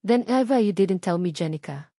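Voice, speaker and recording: A woman voicing a hot-tempered, commanding billionaire husband with a forceful, demanding manner in a dramatised novel reading. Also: medium pitch, expressive, normal speed, no echo, studio quality, natural voice. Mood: curt.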